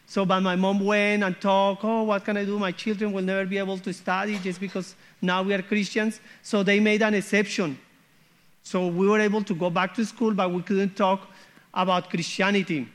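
Man, high-pitched, missionary testimony, earnest, checks in with the audience. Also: flat delivery, normal speed. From Colombia. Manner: earnest, repeats "okay?" and "hmm?" to check in. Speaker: guest missionary speaker. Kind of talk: church testimony.

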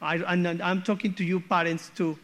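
A man's voice: sits high, little pitch movement, moderate pace, fairly bright tone. A man, sharing his church testimony as a guest missionary in an earnest way that often checks in with "okay?" and "hmm?". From Colombia.

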